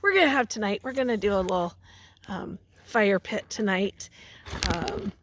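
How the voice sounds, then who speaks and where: A woman's voice: medium pitch, sing-song, fairly bright tone. A woman teacher reading a picture book aloud to young children, stopping to ask them questions and prompt them. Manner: pauses to question and prompt listeners.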